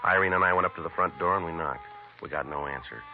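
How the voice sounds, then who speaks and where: An actor playing a terse police detective sergeant who narrates a 1950s radio crime drama in a flat, clipped, deadpan way. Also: male voice, low voice, fast. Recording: lo-fi vintage radio broadcast with noise.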